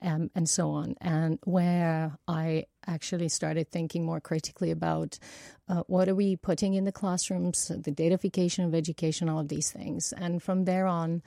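A woman from Bulgaria, a native Bulgarian speaker in her forties, talking reflectively and haltingly as an interview guest. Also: lowish voice, little pitch movement, creaky, dark tone, no echo, studio quality. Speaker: studio guest, education technology academic. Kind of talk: conversational interview. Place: broadcast radio studio.

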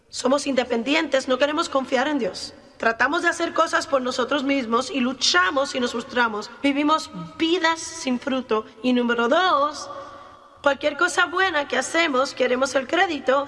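Mujer predicadora de voz aguda. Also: female voice, sits high, a bit bright. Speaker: preacher. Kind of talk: sermon. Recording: long hall reverb.

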